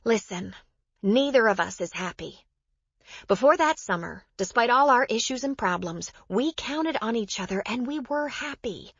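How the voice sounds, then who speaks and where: A woman's voice: a little high, expressive, moderate pace, breathy edge, dry recording, lo-fi sound, pitched above the performer's own voice. A woman narrator voicing a frank, consoling mother in an audiobook, earnest and pressing. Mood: earnest pleading.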